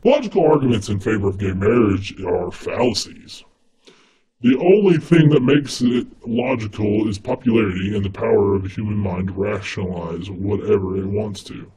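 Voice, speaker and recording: A man doing a deep distant demon voice. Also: expressive, moderate pace, warm tone, lightly acted. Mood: menacing. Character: deep ominous demon voice, male.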